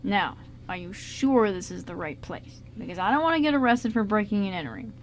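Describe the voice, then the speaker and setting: A woman's voice: mid-range voice, animated, brisk, a little hiss or hum, close to an everyday voice. A woman playing a jittery, wisecracking young male housebreaker in an amateur radio drama, with a casual, teasing delivery. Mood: teasing, curious.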